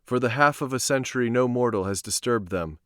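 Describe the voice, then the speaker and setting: A man's voice: medium pitch, fairly quick, no echo. Man reading aloud, dry close sound. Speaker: streamer reading stories aloud. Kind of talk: live storytelling and casual stream chat.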